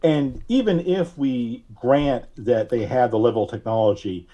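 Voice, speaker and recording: Mellow, halting man in casual interview. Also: medium pitch, muffled recording. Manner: halting, thinking aloud. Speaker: podcast guest; audio drama writer.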